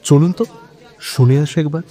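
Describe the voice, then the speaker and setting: A man with a West Bengal accent narrating a scripted love story, expressive and conversational, speaking straight to listeners. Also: fairly high pitch, normal speed, breathy edge. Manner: expressive, conversational, with rhetorical questions. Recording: long reverb with music behind.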